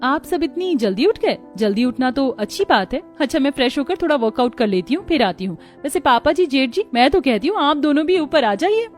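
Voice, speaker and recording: Actress as health-obsessed young daughter-in-law, cartoon drama, higher pitched, self-assured, light music behind. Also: female voice, very animated, fairly quick, few pauses. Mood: breezy, eager. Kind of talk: scripted cartoon dialogue.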